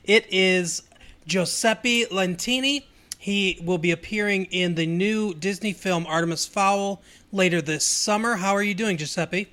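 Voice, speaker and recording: Male podcast host, high voice, upbeat and questioning. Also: measured pace, bright, forceful tone. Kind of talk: casual interview.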